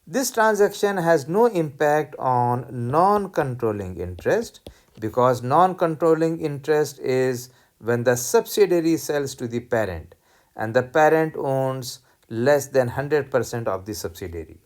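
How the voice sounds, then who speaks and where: Lecturer, mid-pitched voice, teaching worked examples in a deliberate, step-by-step didactic manner. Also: lively intonation. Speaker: accounting lecturer.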